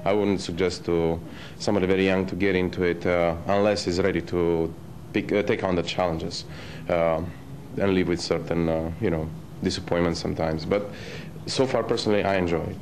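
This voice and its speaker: A man in his thirties from Romania with a Romanian accent, a professional opera singer answering interview questions hesitantly with many pauses. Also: low voice, fairly even intonation. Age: 30s. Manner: hesitant, many filler pauses, light laughs. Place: opera rehearsal at a music school. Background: loud music from the rehearsal.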